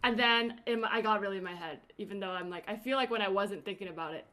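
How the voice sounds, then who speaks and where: A woman hosting a casual interview podcast, chatty and quick to laugh, talking over a video call. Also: mid-range voice, sharp tone. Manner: chatty, laughs often, many fillers and restarts. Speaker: podcast host. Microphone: remote video call.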